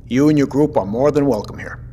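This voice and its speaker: An actor playing a middle-aged captain and father, courteous, measured and thoughtful, in an audio drama. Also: medium pitch, dark tone, lightly acted. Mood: cordial. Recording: reverberant room with faint noise.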